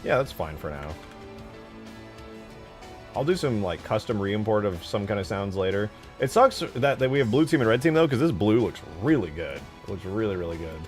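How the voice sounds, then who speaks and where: Male game modder, low register, lively intonation, informal think-aloud delivery over music. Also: normal speed. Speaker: game modder showing work in progress. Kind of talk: casual rambling screen-share commentary.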